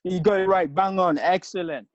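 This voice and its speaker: A man's voice: high voice, steady tone, moderate pace, no room sound. A man from Zambia contributing to an online webinar Q&A, hesitant with many fillers. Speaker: webinar attendee. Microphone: video call. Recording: dull lo-fi video call audio.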